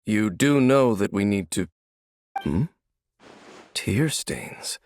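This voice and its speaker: A stoic immortal warrior from a video game. He has a fairly low voice with a lot of creak. His delivery is formal, measured and guarded, with a dry, serious aloofness. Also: lively intonation, darkish tone, dry recording. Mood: quiet, considerate.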